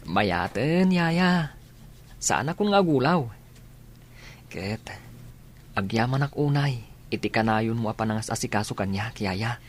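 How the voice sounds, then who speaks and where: A man's voice: medium pitch, lively intonation, a little slow, long pauses. A man acting in a radio drama as a dutiful, affectionate young student son. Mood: warm, grateful.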